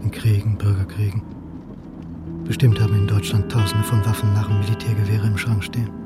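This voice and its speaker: An actor playing a self-doubting, wry historian who narrates a German radio crime drama in terse phrases. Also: male voice, lowish voice, little pitch movement, fairly quick, lightly acted. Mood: tense, dazed. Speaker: first-person narrator and protagonist. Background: instrumental music.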